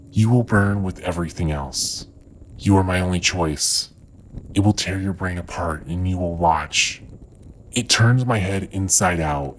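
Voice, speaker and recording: A man playing a tormented, deranged, haunted man in a halting, ominous audio drama monologue. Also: low-pitched, a little hiss or hum.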